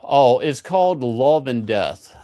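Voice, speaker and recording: A man's voice: mid-range voice, expressive, takes it slowly, warm tone, no room sound. A man co-hosting a livestream in casual chat, telling stories in an exasperated, profanity-laced rant. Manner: exasperated, profane ranting storyteller. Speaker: livestream co-host.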